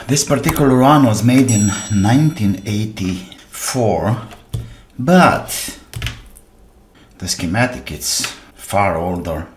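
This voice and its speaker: A man casually narrating a workbench repair, half muttering to himself in short phrases with pauses between them. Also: deep voice, a little hiss or hum. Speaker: electronics repair host.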